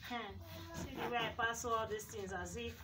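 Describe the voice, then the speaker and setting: A woman's voice: on the high side, normal speed, distant mic. Chatty Nigerian woman vlogging on a phone. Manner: chatty, playful, laughs often. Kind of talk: casual vlog chat. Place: outdoor yard and indoor kitchen. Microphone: handheld phone camera. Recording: noisy handheld phone audio.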